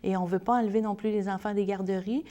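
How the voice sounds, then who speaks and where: Quebec french lady being interviewed, explains things earnestly, medium pitched voice. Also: steady tone, fast, mellow. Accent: Québécois French. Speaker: interview guest, spokesperson for a children's service.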